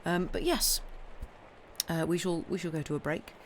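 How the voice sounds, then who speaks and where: Woman reading aloud over clear background noise. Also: fairly low pitch, normal speed. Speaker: reader and streamer. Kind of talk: live novel reading with casual asides. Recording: clearly noisy live stream recording.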